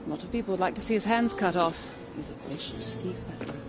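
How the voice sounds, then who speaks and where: A woman's voice: lowish voice, fast talker, over loud music, lightly acted. An actress playing a worried, bitter townswoman in a video game, urgent and plaintive. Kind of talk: dramatic video game acting.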